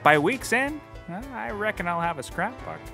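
A man's voice: sits high, animated, normal speed, gravelly, bright, forceful tone, faint music behind, heavily acted. A man playing a naive, eager detective in a scripted comedy podcast, gushing and wide-eyed.

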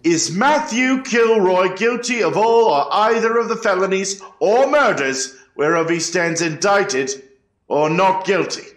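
A man's voice: sits high, lively intonation, unhurried, fairly bright tone. A man playing an eighteenth-century court clerk, calling out formal, ritual proclamations to a jury. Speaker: actor in a historical trial reenactment. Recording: echoey room.

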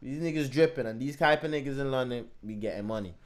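A man in his twenties giving casual, slangy and animated commentary as he reacts to music. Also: mid-range voice, fast. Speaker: reaction video host. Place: small indoor room at home. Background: music from the video he is watching.

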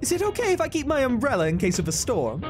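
A woman's voice: high-pitched, wildly swooping pitch, fast, music in the background. A woman voicing a sassy, theatrical male elf wizard with a dramatic, sarcastic delivery. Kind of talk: comic dub voice acting.

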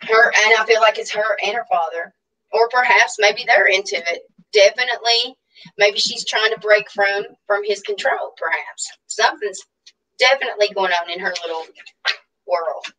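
A woman's voice: medium pitch, pushed and bright, recorded from a distance, slight background noise, low-quality recording. Southern woman reading tarot cards. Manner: rambling, hesitant, pausing to read cards. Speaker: tarot reader guest. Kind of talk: casual tarot reading on a livestream. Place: home room indoors. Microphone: video call webcam.

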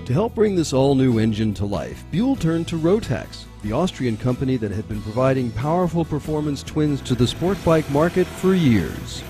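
A man's voice: medium pitch, sing-song, moderate pace, few pauses. A man reading scripted promotional narration for a company training film. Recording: music playing behind the voice. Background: background music.

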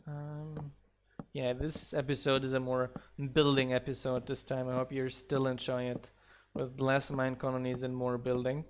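A man's voice: mid-range voice, level tone, moderate pace, slight background noise. A man whose first language is not English, a mod developer chatting casually while building in a live game stream, counting blocks aloud and laughing at his own mishaps.

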